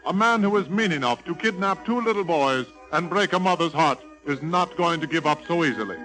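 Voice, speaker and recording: Male old-radio announcer, high pitch, dramatic cliffhanger narration. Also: animated, brisk, fairly bright tone, poor audio. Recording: old radio broadcast with music.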